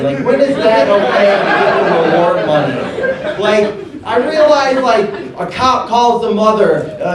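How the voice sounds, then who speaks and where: A man in his thirties with a lisp, doing a stand-up comedy set on a handheld mic, with a halting, deadpan delivery. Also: high voice. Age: 30s. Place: comedy club with audience laughter. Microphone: handheld stage mic, amplified. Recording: echoey club recording from far off with room noise.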